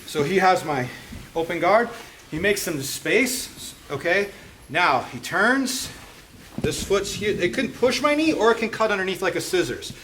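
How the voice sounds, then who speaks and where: A middle-aged man teaching jiu-jitsu in an indoor gym, talking casually and a bit self-deprecating. His voice sits slightly high for a man. There is a faint hum of room ambience. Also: animated, measured pace, fairly bright tone. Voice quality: chatty, self-deprecating, frequent fillers like "okay?" and "right?". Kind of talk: casual storytelling and technique instruction. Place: indoor martial arts gym with mats.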